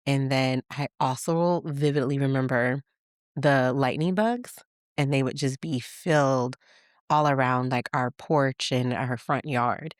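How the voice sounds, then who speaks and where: A woman from Michigan hosting a podcast, telling childhood stories in a chatty, animated way and laughing as she goes. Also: rumbling low, unhurried, no echo, studio quality. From Michigan, USA. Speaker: podcast host. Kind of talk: casual personal storytelling.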